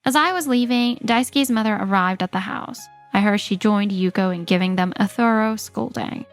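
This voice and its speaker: A woman's voice: mid-range voice, normal speed, faint music behind. A woman reading scripted first-person story narration for a manga dub.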